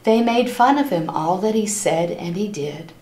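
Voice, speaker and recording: A woman reading a picture book aloud to children in an expressive, animated storytelling style, stopping to address her listeners. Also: medium pitch. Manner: animated, acts out characters' lines, pauses to ask listeners questions. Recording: roomy, echoing room sound.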